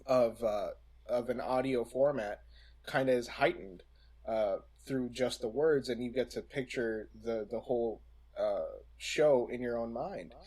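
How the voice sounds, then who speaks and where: A man with a medium-pitched voice speaks as a co-host in a casual podcast discussion. He is deliberate and halting, often pausing partway through a sentence before carrying on. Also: darkish tone, husky, poor audio. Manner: halting, deliberate, many pauses mid-sentence.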